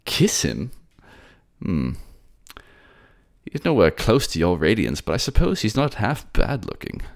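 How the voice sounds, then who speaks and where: Man playing a suave, teasing fantasy prince, lilting pitch. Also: wildly swooping pitch, measured pace, creaky, exaggerated voice. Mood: playful, doting. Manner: courtly, sardonic, gently mocking, old-fashioned turns of phrase. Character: suave, teasing male prince of sea people. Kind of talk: dramatic audio roleplay.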